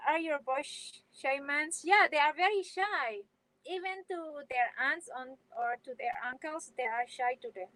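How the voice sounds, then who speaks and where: A woman's voice: fairly high pitch, poor audio. A middle-aged woman hosting a casual live stream from home, chatting with viewers and reading their comments, hesitant, with many fillers and restarts.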